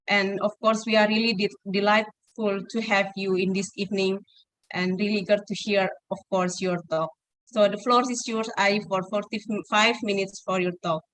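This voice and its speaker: Halting woman introducing a speaker. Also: mid-range voice, little pitch movement, a bit bright, no room sound, poor audio. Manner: halting, many fillers, uneven grammar. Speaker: event moderator.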